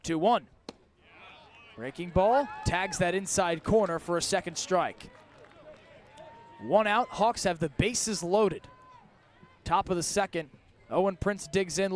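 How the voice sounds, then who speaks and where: A man's voice: high voice, measured pace, long pauses. A man doing live radio play-by-play commentary of a baseball game as the announcer.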